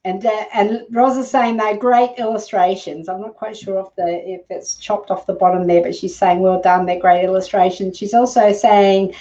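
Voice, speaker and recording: A woman's voice: mid-range voice, steady tone, moderate pace, few pauses, warm tone, recorded from a distance, dull audio. A woman hosting a live shopping stream, chatting casually and encouragingly as she reads out viewer comments. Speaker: live shopping show host.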